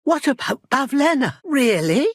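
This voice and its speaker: An actress playing a middle-aged village midwife and protective mother in a dramatic video game, with a husky, breathy voice and an earnest, emotional delivery. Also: high-pitched, very animated, no echo, studio quality. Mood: alarmed, distraught. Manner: earnest, emotional, deliberate phrasing.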